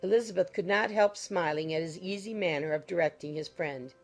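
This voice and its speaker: A woman's voice: on the low side, a little fast, soft background music. A woman reading scripted literary narration as the narrator of a classic audiobook.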